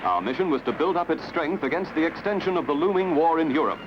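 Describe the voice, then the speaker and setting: A man narrates a scripted newsreel in a dramatic, measured, slightly wry way. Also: fairly high pitch, expressive, background noise. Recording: dull lo-fi archival film soundtrack with music. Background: prominent music.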